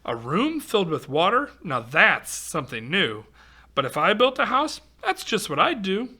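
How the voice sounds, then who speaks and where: Guy reading a rhyming kids book, bright voice that swings up and down, chatty little asides. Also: high voice, normal speed. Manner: expressive, exclaiming, chatty asides. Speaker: volunteer storybook reader for children. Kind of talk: reading a rhyming picture book aloud with ad-libbed asides to children.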